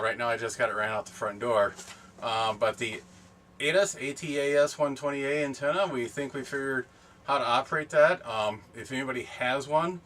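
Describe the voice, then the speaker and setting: A middle-aged man chatting casually and unscripted for a vlog, explaining things in a rambling, halting way. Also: medium pitch, fairly bright tone. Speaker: camping and ham radio vlogger. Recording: distant mic, background noise.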